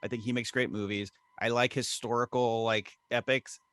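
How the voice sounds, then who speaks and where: A man's voice: medium pitch, level tone, moderate pace, a bit bright, no room sound. A man from the American Midwest co-hosting a podcast, telling jokey rambling stories in casual chat. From Midwestern United States. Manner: jokey, rambling storyteller, slips into put-on accents. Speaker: podcast co-host.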